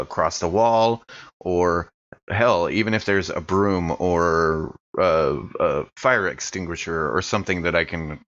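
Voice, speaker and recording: A man's voice: low-pitched, fairly bright tone, muffled recording. A man playing a tabletop roleplaying game, informally talking through what his character does and says. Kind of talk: casual in-character roleplay chat.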